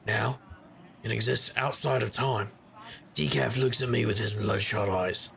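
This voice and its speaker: An actor playing a wry, sardonic supernatural private investigator who narrates in conversational noir style. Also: male voice, lowish voice, measured pace, creaky, a bit bright, slight background noise. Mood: wry, reflective. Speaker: narrator and lead character. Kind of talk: noir first-person scripted narration.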